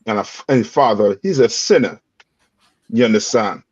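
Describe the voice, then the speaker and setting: Husky man preaching with emphatic questions. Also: on the low side, moderate pace, a little mellow. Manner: emphatic, rhetorical questions, repeats "read on" and "you understand". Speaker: teaching elder leading scripture reading. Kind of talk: call-and-response scripture teaching. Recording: dull lo-fi dry sound.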